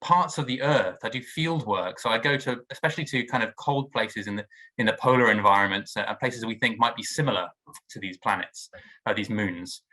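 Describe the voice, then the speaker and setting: A man's voice: on the low side, fairly quick, pushed and bright, rough recording. A man research fellow introducing his work in a conversational way on a video call, often restarting his phrases. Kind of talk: casual self-introduction.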